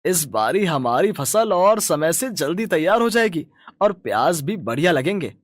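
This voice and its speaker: A man's voice: fairly high pitch, very animated, measured pace, dry recording, clean studio sound. A man voicing a greedy, boastful, stubborn village farmer and husband in a cartoon moral story, talking in a rustic, dismissive way. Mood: proud.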